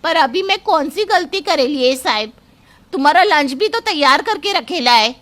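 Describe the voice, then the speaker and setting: Woman playing outspoken working-class maid, complaining, highish voice, story character. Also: expressive, normal speed, fairly bright tone, soft background music. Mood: defensive. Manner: colloquial, complaining, streetwise slangy talk.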